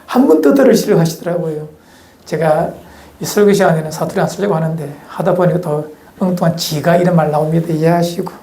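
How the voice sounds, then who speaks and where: A man's voice: fairly high pitch, fairly quick, mellow. A male pastor with a Gyeongsang Korean accent preaching a sermon in a church, folksy and asking the congregation questions. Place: church sanctuary. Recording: echoey church hall.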